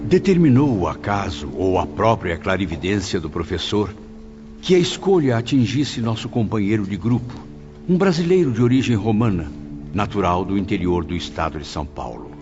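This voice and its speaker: Low-voiced troubled spirit, acted by a man. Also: big pitch swings, moderate pace. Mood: troubled, recollecting. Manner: dramatic, literary. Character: anguished male spirit recounting his memories. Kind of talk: audiobook drama narration. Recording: dull audio with music behind.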